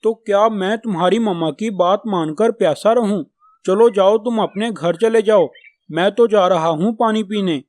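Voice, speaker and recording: A man's voice: sits high, moderate pace, a little mellow, no echo, natural voice. A man voicing a stubborn, greedy young male crow in a children's cartoon, with whiny, headstrong childlike talk. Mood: defiant. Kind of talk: Hindi children's cartoon dubbing.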